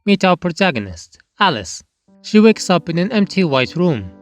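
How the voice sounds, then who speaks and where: A man's voice: high-pitched, sing-song, normal speed, dry recording, faint music behind. A man narrating a scripted review with comic asides. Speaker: manga review YouTuber, narrator.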